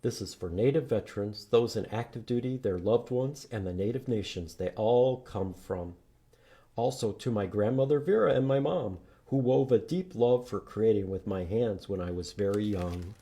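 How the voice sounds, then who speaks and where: A man reading aloud to children at a storytime, expressive and inviting them to join in. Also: lowish voice, normal speed, husky. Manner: expressive, animated, asks listeners to join in. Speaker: children's storytime reader at a library. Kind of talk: read-aloud storytime with singing and prompts to children.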